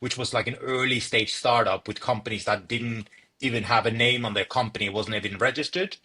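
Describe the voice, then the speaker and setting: Bright-voiced Norwegian-born man, halting. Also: mid-range voice, fairly even intonation. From Norway. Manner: hesitant, many fillers and repeated words. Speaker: startup founder. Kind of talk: interview answers.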